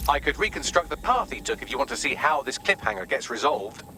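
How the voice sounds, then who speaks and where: A man's voice: a little high, lively intonation, quick pace, music in the background, lightly acted. A man with a British accent voicing a sardonic, chatty AI assistant in a video game, droll and theatrical. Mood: wry.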